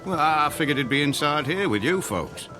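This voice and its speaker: A man's voice: fairly high pitch, expressive, moderate pace, music in the background, natural voice. An actor in a radio drama playing a neighbourly island mailman in his sixties, folksy and a little hesitant. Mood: puzzled, neighbourly. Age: 60s.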